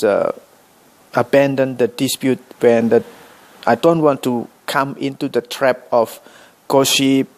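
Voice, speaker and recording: A middle-aged man from Indonesia speaking English with an Indonesian accent, addressing his vlog viewers in slow, halting sentences full of pauses and self-corrections. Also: medium pitch, gravelly. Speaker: vlogger. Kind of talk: direct-to-camera vlog address.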